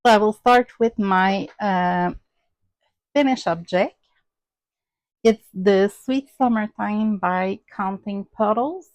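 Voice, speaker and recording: Slow, hesitant woman with a Québécois French accent. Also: mid-range voice. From Quebec, Canada. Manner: hesitant, many "um" and "uh" fillers. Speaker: crafting channel host. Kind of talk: casual show-and-tell vlog.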